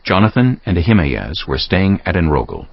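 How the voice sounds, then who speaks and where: A man narrating scripture as a dramatic audio production, in a solemn, deliberate storytelling manner. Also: sits low, very animated, creaky, husky, dry recording, lo-fi sound. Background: music.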